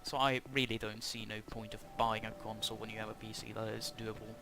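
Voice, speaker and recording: A man from Norway, talking in a halting, self-conscious way as he gives casual commentary over a video game. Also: fairly low pitch, monotone. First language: Norwegian. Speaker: gaming channel host. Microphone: cheap headset mic. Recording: cheap headset mic with loud background noise.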